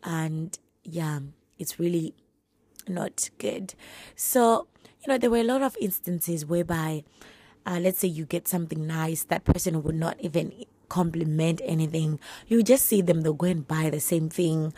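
A woman with a hoarse, husky voice from a flu, giving earnest, encouraging faith-based advice straight to her listeners. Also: sits low, a little slow, dark tone. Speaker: podcast host.

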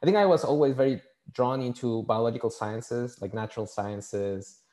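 A man from Mexico City, a native Spanish speaker with a Mexican accent, answering interview questions casually and haltingly, often repeating words. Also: mid-range voice, warm tone. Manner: halting, repeats words, many "you know" fillers. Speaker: podcast guest, scientist and engineer.

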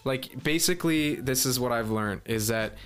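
A young man with a breathy voice, a musician chatting casually as a podcast guest and telling stories with lots of filler words. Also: mid-range voice, moderate pace, faint music behind. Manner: chatty, filler-heavy storytelling.

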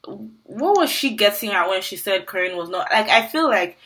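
Bright-voiced woman chatting on podcast. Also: medium pitch, lively intonation, moderate pace, husky. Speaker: podcast co-host. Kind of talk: casual chat recapping TV show.

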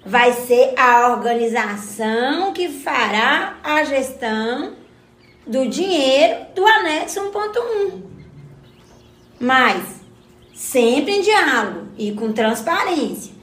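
Radio drama role, for a woman: wise, folksy old grandmother, Minas Gerais accent, high-pitched, roomy sound. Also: big pitch swings, slow pace, fairly bright tone, full character voice. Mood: patiently explaining. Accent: Brazilian, Minas Gerais. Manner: folksy, motherly, explanatory, exclamatory. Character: Dona Joaninha, spirited older village grandmother, folksy and wise. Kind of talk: scripted community radio drama.

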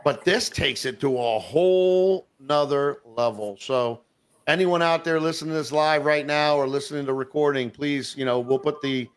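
A man hosting a casual online talk show, his voice sitting a bit high for a man. He talks eagerly but in loose, rambling runs, full of fillers and restarts. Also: moderate pace, smooth. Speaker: podcast host.

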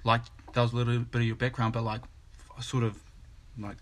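A man's voice: mid-range voice, flat delivery, brisk, fairly bright tone. A man hosting a podcast, easygoing and casual, full of "like" and "bro". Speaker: podcast host.

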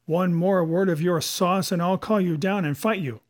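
Guy doing a bossy pirate sea cook for an audiobook, sneery, crafty, rough sailor slang, highish voice. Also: a little slow, darkish tone. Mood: angry, threatening. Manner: rough sailor dialect, taunting and commanding.

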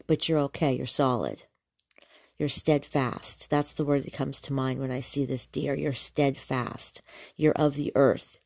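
A woman doing a tarot reading as a medium, talking softly with many pauses and restarts, a little congested and nasal. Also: low-pitched, no room sound, studio quality. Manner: soft, searching, with many ums and restarts. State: congested, slightly nasal from allergies. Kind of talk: spontaneous tarot reading to camera.

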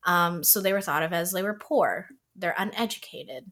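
A woman's voice: on the low side, moderate pace, fairly bright tone. A woman raised in Canada, a podcast guest on a remote call, chatting casually with lots of "like" fillers and easy laughs. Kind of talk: casual chat.

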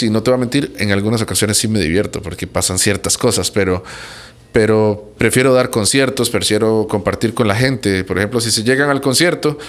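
A man from Costa Rica with a Costa Rican accent, a musician giving casual, conversational answers in an interview. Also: fairly low pitch.

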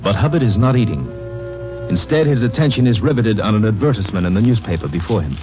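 A man's voice: lowish voice, expressive, fast, dull audio. A man with an American accent giving scripted documentary-style narration in a 1950s radio drama. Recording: band-limited old radio recording with music.

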